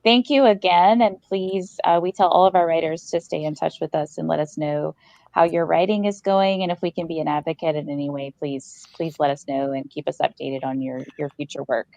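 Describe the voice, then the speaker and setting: A woman's voice: low-pitched, fairly even intonation, a little fast, creaky, a little mellow, no room sound. A woman hosting a podcast in casual interview chat, talking in an effusive, affirming way. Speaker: podcast host.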